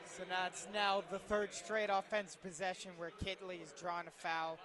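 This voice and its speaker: A man's voice: high-pitched, little pitch movement, normal speed, crowd noise. A man giving color commentary and analysis during a live basketball radio broadcast.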